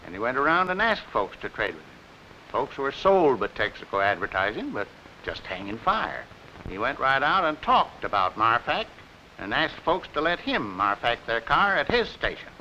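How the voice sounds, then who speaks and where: An eager small-town American gas station dealer with a bright, forceful voice and lively swings of pitch. He pitches in homespun, colloquial phrases like a 1940s promotional film. The old soundtrack is hissy and dull. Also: normal speed. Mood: earnest, determined. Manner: folksy, colloquial, emphatic salesman talk. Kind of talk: 1940s sponsored film acting, scripted pitch.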